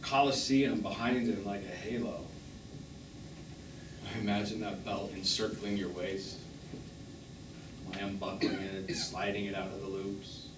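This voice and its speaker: A man's voice: sits low, steady tone, unhurried, pauses often. Echoey, distant actor as wounded middle-aged man. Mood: wistful, mournful. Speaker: stage actor. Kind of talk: live stage drama acting. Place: small theatre, recorded from a distance. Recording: echoey, noisy stage performance recorded from afar.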